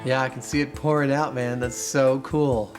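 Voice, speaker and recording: A man's voice: mid-range voice, little pitch movement, normal speed, over music. A man hosting a science show, explaining his experiments on camera in an enthusiastic, jokey way. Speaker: host and experimenter. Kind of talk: casual on-camera demonstration.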